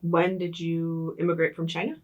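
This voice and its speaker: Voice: medium pitch, little pitch movement, moderate pace, recorded from a distance, dull audio. An interviewer for an oral history project, asking friendly, chatty questions. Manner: friendly, chatty, laughs easily.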